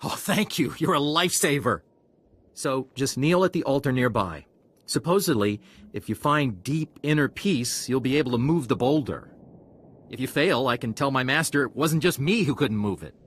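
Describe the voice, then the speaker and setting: A man's voice: medium pitch, expressive, normal speed, a bit bright, slightly rough. An actor playing an anxious, easily flustered young male apprentice in a video game, complaining as he talks. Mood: relieved, explaining. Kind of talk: video game dialogue acting.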